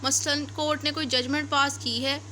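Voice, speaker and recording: High-pitched Pakistani woman lecturing. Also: flat delivery, moderate pace, pushed and bright. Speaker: law lecturer. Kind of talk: explanatory lecture, reading clauses then explaining. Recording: faint background noise.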